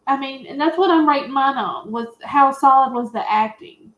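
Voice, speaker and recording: Echoey, higher-pitched woman in casual chat. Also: moderate pace. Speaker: panelist on a film review show. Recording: echoey, roomy voice pickup.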